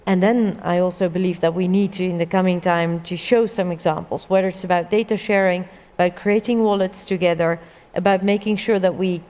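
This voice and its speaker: A woman's voice: fairly low pitch, fairly even intonation, a little fast, few pauses, a little mellow. A woman from the Netherlands, a government minister, talking in a panel discussion in a conversational way, with many fillers. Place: conference hall stage. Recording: reverberant conference hall.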